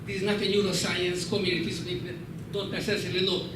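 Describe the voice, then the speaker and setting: A man's voice: sits high, little pitch movement, fast talker. A man with a Hungarian accent asking a long, winding question at a conference. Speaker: audience questioner. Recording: echoey hall, distant mic, background noise.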